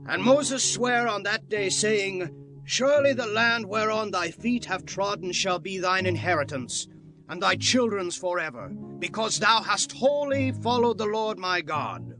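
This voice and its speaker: Male actor as aged Israelite warrior, high voice, proud, devout, declamatory, dramatised Bible audio, music behind. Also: expressive, measured pace, rough recording. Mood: earnest, reverent. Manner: earnest, declamatory. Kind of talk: dramatised scripture acting. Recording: music under the voice. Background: prominent instrumental music.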